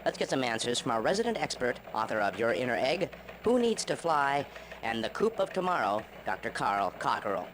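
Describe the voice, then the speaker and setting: An actor playing a self-important chicken news anchor in a video game, with polished broadcast announcing. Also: male voice, medium pitch, very animated, fairly quick, noisy background, close to an everyday voice. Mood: mock-serious. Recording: music behind the voice.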